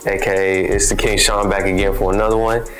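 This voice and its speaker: Low-voiced matter-of-fact young man. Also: little pitch movement, brisk, a bit bright, faint music behind. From Texas. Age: 20s. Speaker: rapper in a music group. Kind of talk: casual self-introduction interview.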